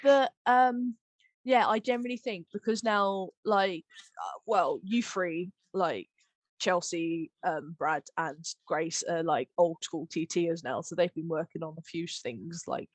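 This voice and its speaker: A woman's voice: fairly low pitch, a little slow, dry recording. A woman hosting a casual podcast chat about audio drama work, speaking in a gushing, enthusiastic way. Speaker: host and audio drama editor.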